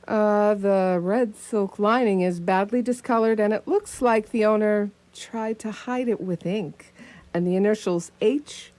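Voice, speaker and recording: A woman's voice: medium pitch, unhurried, darkish tone, lightly acted. A woman playing a sceptical, sardonic detective's sidekick in a radio theatre play, with a dry manner. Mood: dry, unimpressed.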